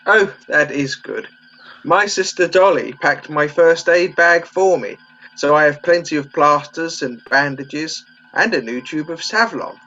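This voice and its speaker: A man playing a gentle, timid old Home Guard medic, meek and fussy, in a comedy radio play. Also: on the high side, measured pace, highs cut off, soft background music.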